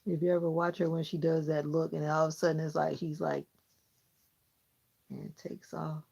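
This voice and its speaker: Woman, southern us accent, low husky voice, gravelly, chatty vlogger. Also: level tone, moderate pace, warm tone. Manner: chatty, laughs often, talks while trimming hair. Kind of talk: casual chat with live viewers.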